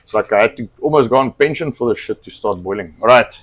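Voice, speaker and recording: A middle-aged man hosting a cooking live stream, chatting bluntly and swearing a lot as he rambles, his voice slightly hoarse from a cold. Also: medium pitch, expressive, fast, fairly bright tone. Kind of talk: casual profane chat while cooking.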